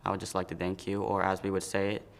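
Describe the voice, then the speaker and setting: A teenage boy from Senegal whose first language is Pular, a student haltingly thanking donors with frequent ums. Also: low-pitched, monotone, creaky. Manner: shy, hesitant with frequent ums.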